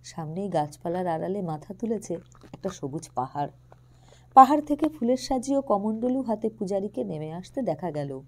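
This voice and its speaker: A woman reading a literary romantic story aloud as narrator, in an expressive storytelling style. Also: medium pitch, normal speed.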